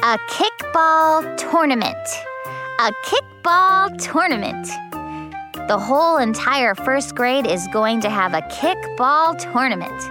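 A woman voicing an excitable, cheeky first-grade girl in a children's audiobook, with childlike, exaggerated emphasis. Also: a little high, a little slow, hardly any pauses, music in the background. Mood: excited. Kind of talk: children's audiobook character narration.

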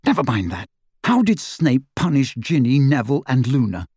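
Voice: medium pitch, sing-song, normal speed, mellow, slightly rough, no room sound. Performer as a teenage boy wizard, blunt, impatient, determined, terse, dry close sound, storybook hero. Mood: urgent. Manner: terse, direct.

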